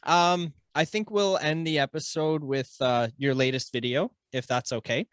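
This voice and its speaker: A man's voice: on the high side, fairly even intonation, normal speed, smooth, no room sound, studio quality. A man hosting a podcast interview in an informal, laid-back way with casual slang. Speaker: podcast host.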